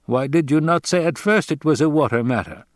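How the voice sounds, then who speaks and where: A man's voice: on the high side, brisk, no echo, clean studio sound, pitched above the performer's own voice, lightly acted. A man voicing a proud, fierce desert fighter who speaks in blunt, matter-of-fact declarations, in an audiobook story. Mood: solemn, insistent.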